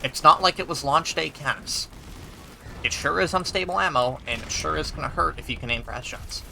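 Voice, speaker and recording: A man's voice: a little high, brisk, creaky, bright, forceful tone. Man reviewing over noisy game gunfire. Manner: analytical, talks while playing. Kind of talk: casual gameplay commentary and weapon review. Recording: gunfire and game battle noise behind. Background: video game gunfire and vehicles.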